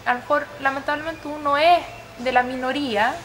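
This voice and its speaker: Higher-pitched Chilean woman, reflective. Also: fairly even intonation, fairly quick, a bit bright, low-quality recording. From Sweden and Chile. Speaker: interviewee. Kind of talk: personal storytelling in an interview.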